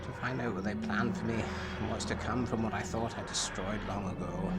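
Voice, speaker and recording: A man acting a brooding time-travelling alien who serves as his own therapist, speaking in a solemn, deliberate way in an audio drama. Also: on the low side, natural voice. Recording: music under the voice. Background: music.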